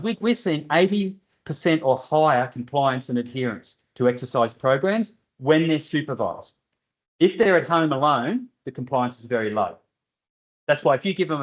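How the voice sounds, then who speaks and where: A man's voice: a little high, dull audio. An older man with an Australian accent, answering audience questions at a seminar in a direct, plainspoken way with dry humour. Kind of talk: seminar Q&A explanation. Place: university seminar room.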